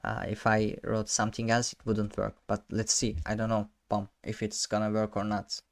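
A man's voice: on the low side, monotone. A young man whose first language is Turkish, talking through a coding tutorial at his desk with lots of fillers and restarts. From Turkey. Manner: halting, many fillers and restarts, self-amused. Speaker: programming tutor. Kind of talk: casual screen-share coding tutorial. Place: small room indoors.

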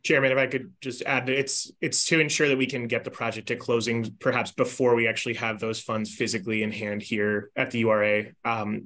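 A man's voice: fairly low pitch, fairly bright tone. A man adding details to a colleague's answer at a board meeting over a video call, polite in manner. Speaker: staff member.

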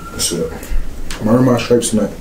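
A man's voice: medium pitch, wildly swooping pitch, quick pace, a crowd in the background. A man in casual, slangy livestream banter, teasing and repeating his phrases insistently in a roomy space. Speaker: guest on a livestream. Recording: roomy, echoey sound.

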